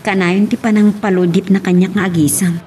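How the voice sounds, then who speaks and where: A woman's voice: medium pitch, fairly even intonation, fairly quick, dark tone, close to an everyday voice. Actress as worried mother abroad, noisy recording. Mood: troubled, weary. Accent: Ilocano. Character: worried hardworking mother working abroad, homesick, loving. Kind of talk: Ilocano radio drama acting.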